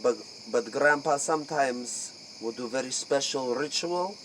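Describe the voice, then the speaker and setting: A man playing a secretive vampire clan member in a comic interview, speaking halting, repetitive broken English. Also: mid-range voice, fairly bright tone. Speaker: interview guest in character. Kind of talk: improvised comic role-play interview. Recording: noisy, crickets and insects behind.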